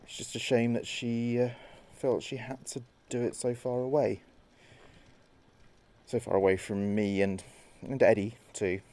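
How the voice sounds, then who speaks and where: An actor playing a grieving son who talks reflectively and haltingly to his late father in an audio drama monologue. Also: medium pitch, little pitch movement, a little mellow, close to an everyday voice. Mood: subdued, wistful.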